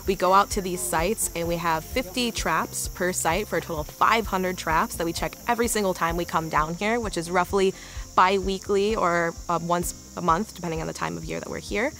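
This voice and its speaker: Young woman, slightly low pitch, explanatory nature documentary interview, soft music bed underneath. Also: normal speed, rough recording. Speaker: field researcher.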